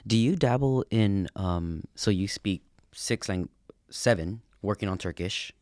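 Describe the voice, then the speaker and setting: A man's voice: on the low side, measured pace, a little mellow, no echo, studio quality. A man co-hosting a podcast, asking questions with a friendly, encouraging manner. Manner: encouraging listener, brief affirmations. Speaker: podcast co-host. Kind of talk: interviewer, casual chat.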